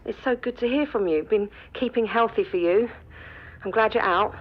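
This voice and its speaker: An actress playing a loving, unwell mother who speaks softly and hesitantly in a radio drama. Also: fairly high pitch, normal speed, highs cut off, natural voice. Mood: warm. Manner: soft, gentle, hesitant. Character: loving mother, fragile, unwell, proud of son.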